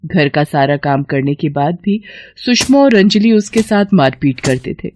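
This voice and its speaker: A woman's voice: sits low, animated, measured pace, darkish tone, slight background noise. A woman narrating a scripted cartoon family story as the storyteller.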